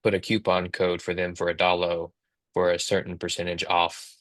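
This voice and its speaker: A man in his thirties talks through steps in a casual, instructional way. His voice sits low and moves little in pitch. The sound is dry, as from a close mic on a video call. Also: monotone, normal speed, a bit bright, no echo. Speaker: co-host, app company team member. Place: indoors on a video call, virtual background.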